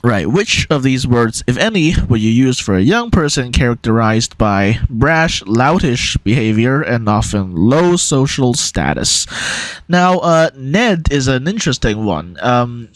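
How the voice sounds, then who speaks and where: A man's voice: mid-range voice, big pitch swings, unhurried, no room sound, poor audio. Hesitant young man, dry close sound. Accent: neutral American-ish. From Hong Kong. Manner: rambling, hesitant, many fillers. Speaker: YouTuber. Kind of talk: casual quiz commentary.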